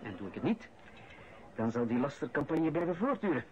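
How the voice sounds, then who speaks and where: An actor playing a calculating incoming director in a radio play, measured, formal and insinuating. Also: male voice, fairly high pitch, big pitch swings, a little mellow. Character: calculating, smooth-talking male incoming director pressuring a colleague. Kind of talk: radio drama acting, conversational. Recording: muffled old radio recording, noisy background.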